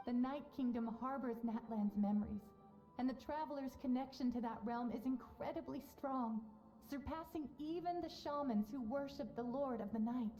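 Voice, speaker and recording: Actress as wise serene game mentor lady, soft mellow tone, measured and solemn, faint music. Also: high-pitched, lightly acted, poor audio. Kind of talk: video game character acting.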